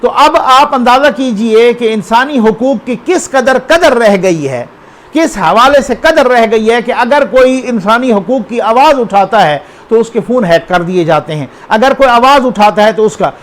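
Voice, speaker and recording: Male news commentator, Pakistani accent, high voice, conversational and unscripted, quizzes the viewer. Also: lively intonation, normal speed. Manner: conversational, addresses the viewer with rhetorical questions and check-ins.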